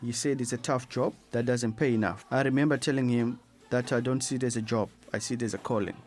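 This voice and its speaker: An actor playing a stern but sympathetic headmaster in a radio drama, with a formal, exasperated manner. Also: male voice, medium pitch, steady tone, fast talker, a little mellow, natural voice. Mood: measured, firm. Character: stern, rule-bound headteacher, sympathetic but unyielding.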